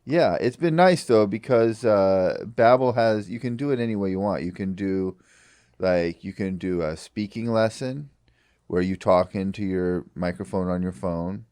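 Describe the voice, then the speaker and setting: Very deep-voiced deadpan man. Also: smooth, no room sound. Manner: flat, halting delivery with many fillers. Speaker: podcast co-host. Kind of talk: deadpan comedy podcast banter, mock ad read.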